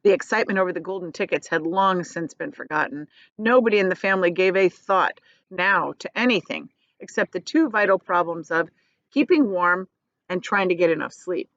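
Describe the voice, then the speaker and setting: A woman reading a children's novel aloud as a teacher would, in expressive storybook narration. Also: lowish voice, a little fast, no echo, dull audio.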